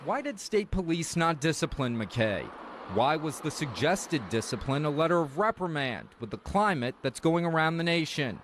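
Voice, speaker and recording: A man's voice: a little high, sing-song, normal speed, noisy background. A man with an American accent reading a scripted investigative news report as a TV reporter.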